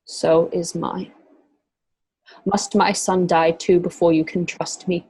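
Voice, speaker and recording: A woman playing an anguished, proud Roman noblewoman in an amateur radio drama, with a husky voice. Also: on the low side, little pitch movement, slow, dark tone.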